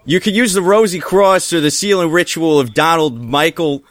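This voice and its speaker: A man's voice: fairly high pitch, normal speed, fairly bright tone, no echo. A man co-hosting a comedy podcast, reading an odd article aloud in a mock salesman's delivery.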